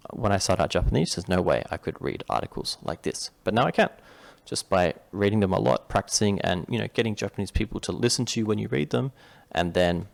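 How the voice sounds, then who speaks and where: A man's voice: fairly low pitch, gravelly. A man from Western Australia with an Australian accent, explaining a Japanese reading lesson to viewers in a chatty, rambling way with frequent restarts. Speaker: Japanese tutor. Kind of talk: casual screen-share reading lesson.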